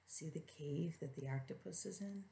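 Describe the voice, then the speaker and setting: A middle-aged woman reading a children's storybook aloud as a preschool teacher, with gentle questioning asides to young listeners. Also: deep voice, moderate pace, vocal fry.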